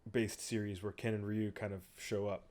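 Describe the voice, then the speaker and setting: A man's voice: fairly low pitch, little pitch movement, normal speed, a little mellow. A man co-hosting a casual podcast, joking around and rambling with frequent restarts. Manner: joking, rambling banter, often trails off. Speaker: podcast co-host.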